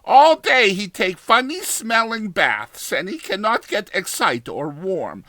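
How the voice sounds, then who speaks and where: An excitable Spanish landlady, acted out by a male narrator, pitched very high and pushed forward with force. She gossips and exclaims in broken, Spanish-accented English. It is a dramatized audiobook character with a loud, insistent delivery. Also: slow pace, dry recording, heavily acted. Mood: agitated, gossiping.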